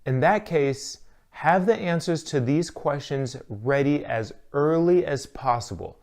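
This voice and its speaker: A man working as an instructor, delivering scripted instructional narration in a clear, measured, advisory manner. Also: fairly high pitch, lively intonation, darkish tone.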